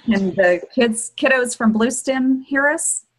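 A woman's voice: fairly high pitch, slow, poor audio. A woman in her forties with an American accent, casually hosting a virtual tour on a video call and putting questions to guests. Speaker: host and moderator of a virtual farm tour. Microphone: computer mic on a video call.

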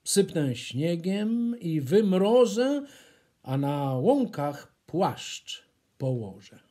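A man's voice: sits high, very animated, drawn-out words, dark tone, heavily acted. A man voicing stern, stubborn Winter in a children's storybook, with an emphatic, defiant manner.

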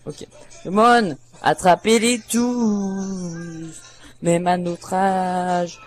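A man half singing, half reciting a cartoon theme in a halting, self-conscious amateur way. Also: high voice, fairly even intonation, faint music behind.

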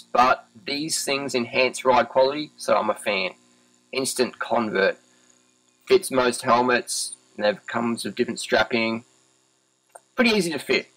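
A man's voice: medium pitch, a bit bright, dry recording, rough recording. A man in his thirties with an Australian accent, giving a casual, laid-back product review in short, clipped phrases. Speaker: vlogger, cyclist reviewing gear.

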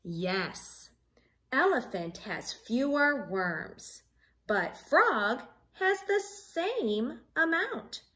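High-pitched woman reading slowly to children. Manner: expressive, sing-song storytelling with questions addressed to young listeners. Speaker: teacher reading a picture book aloud to children. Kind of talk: children's read-along with simple math questions. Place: living room at home.

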